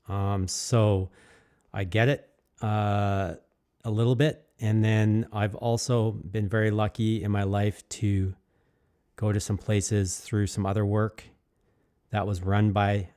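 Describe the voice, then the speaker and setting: A man's voice: on the low side, warm tone. A man narrating his own work in an easygoing, rambling way, candid and enthusiastic, with many fillers and restarts. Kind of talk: casual reflective voice-over.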